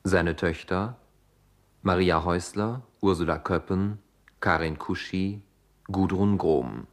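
A man's voice: low-pitched, slow. A man reading scripted credits formally as a radio announcer.

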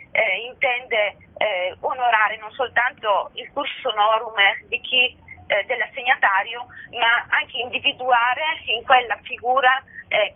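A woman being interviewed by phone as a festival director, speaking earnestly in formal, elaborate phrases and often pausing to search for words. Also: fairly high pitch. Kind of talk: radio phone interview, spontaneous explanation. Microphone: telephone. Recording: narrow tinny phone line.

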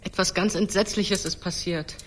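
A man's voice: high-pitched, steady tone, normal speed, fairly bright tone. An earnest young boy, talks with anxious urgency as the responsible older brother in a radio play. Faint noise sits behind the voice. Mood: alarmed. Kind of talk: German radio play acting.

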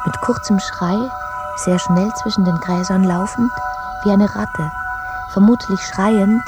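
A woman's voice: mid-range voice, fairly even intonation, a little slow, music in the background. A woman reading scripted literary prose as a narrator in an audio drama.

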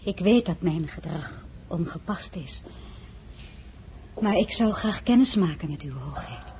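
A woman's voice: lowish voice, animated, measured pace, darkish tone, slight background noise. A woman playing a discreet middle-aged noblewoman who narrates her own story and often drops into a whisper. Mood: polite, hesitant. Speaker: narrator and lead character. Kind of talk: radio play acting with diary narration.

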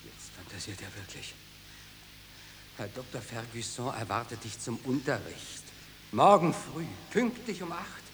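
A man's voice: medium pitch, animated, a little slow, fairly bright tone. An actor playing a stern, authoritarian father in a German radio drama, commanding and emphatic. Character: stern authoritarian father demanding obedience and study. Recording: long hall reverb, light noise.

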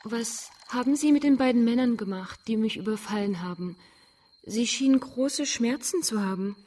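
Actress as frank, gentle young woman. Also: fairly high pitch, normal speed, lightly acted. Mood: earnest, reproachful. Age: young adult. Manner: candid, composed, earnest. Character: frank, gentle young blind woman who keeps singing crickets. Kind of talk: German radio drama acting.

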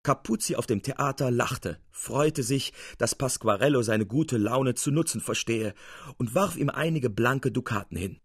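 A man narrating a scripted literary tale for radio as an expressive, theatrical storyteller. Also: mid-range voice, measured pace, husky, dry recording, studio quality, soft background music.

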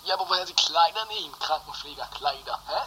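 A woman playing a dim, slow-on-the-uptake young man on the telephone in an amateur comedy radio play. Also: sits low, lively intonation, sharp tone, a little hiss or hum, rough recording. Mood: puzzled.

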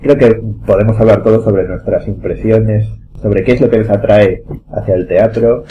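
A man's voice: fairly low pitch, steady tone, moderate pace, highs cut off, slight background noise. A man with an accent from Spain, hosting a casual group podcast conversation. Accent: Spanish, from Spain. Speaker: podcast host and director.

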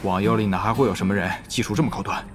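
男演员饰演多疑而沉稳的公会会长. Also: male voice, lowish voice, racing, music in the background, lightly acted. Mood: suspicious, scheming. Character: wary, calculating male online game guild leader. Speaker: voice actor. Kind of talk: Chinese audio drama acting.

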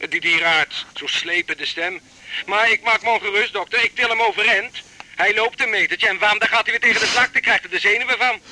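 An actor playing a fretful, stubborn husband on the phone, plain-spoken, agitated and insistent, in a Dutch radio drama from the eighties. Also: male voice, high-pitched, fairly quick, bright, forceful tone, poor audio. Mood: insistent, plaintive. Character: fretful, stubborn married man phoning about his cat.

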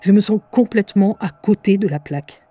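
Radio drama lead: actress as wry, easily exasperated female narrator, medium pitch, faint music behind. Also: a little fast, dark tone, muffled recording, natural voice. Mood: weary, wry. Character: weary, sardonic woman narrating from her diary.

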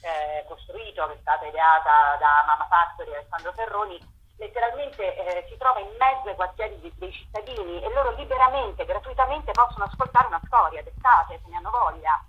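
Woman, lowish voice, phone-line sound, thoughtful, explains at length, curator as interview guest. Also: brisk. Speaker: festival curator. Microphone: telephone.

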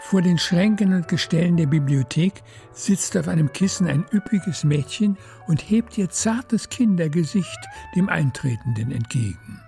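A woman's voice: lowish voice, expressive, moderate pace, hardly any pauses, dark tone, faint music behind. A woman narrating a radio play in scripted literary prose.

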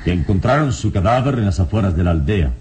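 An actor playing a calm, firm, manly and mysterious hero from the East in a radio drama. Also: male voice, sits low, fast. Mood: grave, composed. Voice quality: serene, firm, virile.

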